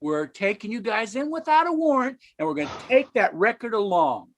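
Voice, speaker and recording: Excitable tough policeman for vintage radio drama, male actor, highly animated delivery. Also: a little fast, slight background noise. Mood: firm. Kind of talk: old-time radio drama acting.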